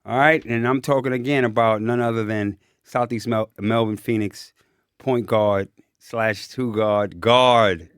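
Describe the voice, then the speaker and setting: A man's voice: fairly low pitch, fairly even intonation, unhurried, clear, resonant tone, dry recording, studio quality. A man hosting a podcast in casual chat, emphatic and enthusiastic, repeating phrases for emphasis. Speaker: podcast host.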